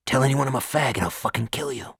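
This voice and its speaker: A man voicing a defensive male convict who talks in quick, clipped threats. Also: medium pitch, creaky, fairly bright tone, husky, no room sound, studio quality, voice pushed up, full character voice.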